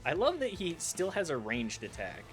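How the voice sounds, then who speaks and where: A man streaming a video game, chatting casually in a rambling, self-deprecating way with animated asides. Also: on the high side, normal speed. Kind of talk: casual chat while commenting on gameplay. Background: game music.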